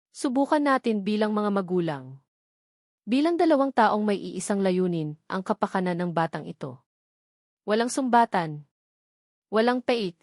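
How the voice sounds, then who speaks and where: A hurt expectant mother in a romantic audio drama, voiced by a woman storyteller. She is guarded and hesitant yet firm, with a medium pitch in a dry recording. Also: dry recording. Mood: firm, tentative. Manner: soft but steady, hesitant.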